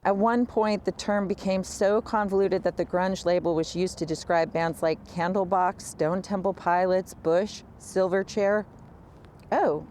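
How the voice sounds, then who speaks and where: A woman's voice: on the low side, steady tone, a little slow, darkish tone, a little hiss or hum. A woman host narrating a short history, slipping into casual, wry asides.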